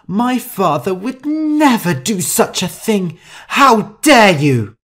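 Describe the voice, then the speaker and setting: A woman's voice: sits low, lively intonation, slow, a little mellow, voice pushed up, exaggerated voice. A woman voicing a haughty, vain, hot-tempered older heiress in an audiobook story, with an imperious, theatrical manner. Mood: outraged.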